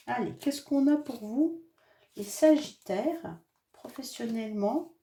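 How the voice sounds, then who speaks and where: A woman's voice: a little high, lively intonation, slow pace, mellow, reverberant. A French-accented woman with a head cold giving a chatty, reassuring monthly tarot reading, full of hesitations and fillers. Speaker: tarot card reader. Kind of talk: monthly astrology card reading.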